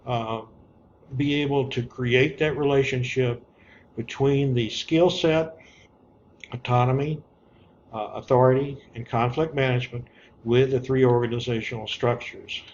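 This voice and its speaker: A man's voice: mid-range voice, steady tone, measured pace, a little mellow. Male instructor on dull, noisy lo-fi audio. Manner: plainspoken, instructive, repeats key points. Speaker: online course instructor. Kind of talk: informal screen-share walkthrough of an assignment. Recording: dull lo-fi audio with background noise.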